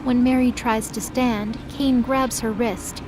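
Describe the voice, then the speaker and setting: A woman's voice: a little high, fairly even intonation, slow pace. A woman reading scripted, dramatic story narration as the narrator of an audio drama. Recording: clear background noise under voice.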